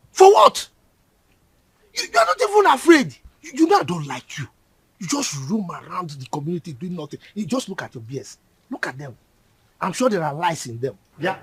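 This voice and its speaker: An actor playing a protective uncle who scolds loudly and stammers when angry. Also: male voice, high-pitched, slightly rough, no room sound, full character voice.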